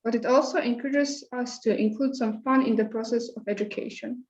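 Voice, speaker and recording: A woman's voice: on the high side, steady tone, a little fast, a little mellow. A woman reading a prepared presentation about a school. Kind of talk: scripted presentation read aloud. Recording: roomy echo, dull lo-fi sound.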